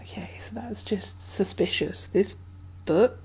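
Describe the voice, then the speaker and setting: A woman playing a caring, uneasy survivor of a zombie apocalypse in a soft-spoken ASMR roleplay, breathy and hesitant, often slipping into whispers. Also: medium pitch, close to an everyday voice. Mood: disturbed, suspicious. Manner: hesitant, musing, halting.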